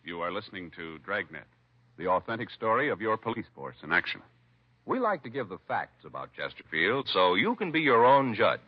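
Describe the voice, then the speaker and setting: A man's voice: fairly low pitch, sing-song, brisk, slightly rough. An American man announcing a 1950s radio commercial in an emphatic, formal, salesmanlike style. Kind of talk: 1950s radio advertising read. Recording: muffled vintage radio recording.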